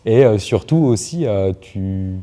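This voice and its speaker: A man's voice: on the low side, animated, takes it slowly, dark tone. A young man, a writer from France, talking about language in an interview, hesitant with many restarts and fillers. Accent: neutral Parisian French. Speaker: writer and poet.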